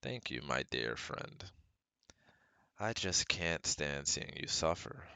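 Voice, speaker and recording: A man's voice: extremely low pitch, big pitch swings, slow pace, sharp tone, clear, resonant tone, no room sound, exaggerated voice. A man playing a caring, protective best friend who gives firm, earnest advice straight to the listener in an ASMR roleplay. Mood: tender, reassuring. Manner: soothing, earnest, instructive, speaking directly to listener.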